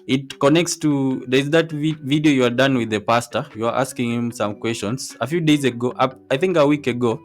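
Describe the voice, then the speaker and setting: Hesitant male livestream host, medium pitch. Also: brisk, few pauses, faint music behind. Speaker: livestream host relaying audience questions. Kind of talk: casual livestream interview.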